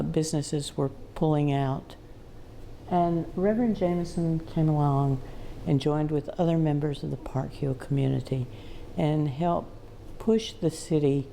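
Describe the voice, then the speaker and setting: Low, gravelly woman tour guide. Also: mellow. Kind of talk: explanatory guided tour talk. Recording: clear background noise, room ambience.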